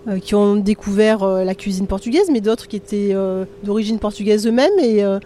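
A woman interviewing guests for local radio, asking questions in a hesitant, halting way. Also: medium pitch, steady tone, warm tone, slight background noise.

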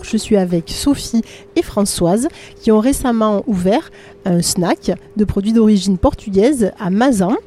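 A woman interviewing guests for local radio, asking questions in a hesitant, halting way. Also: medium pitch, mellow, faint music behind.

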